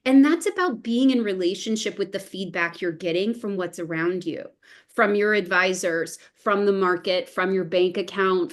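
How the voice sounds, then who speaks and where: Earnest, encouraging woman guest. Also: medium pitch. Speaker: podcast guest, improv performer. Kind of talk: casual discussion.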